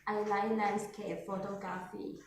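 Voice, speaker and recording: Thai woman talking real slow and halting, sounds far off in an echoey room. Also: medium pitch, level tone, background noise. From northern Thailand. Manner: halting non-native English with pauses. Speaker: test candidate. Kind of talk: speaking test answers.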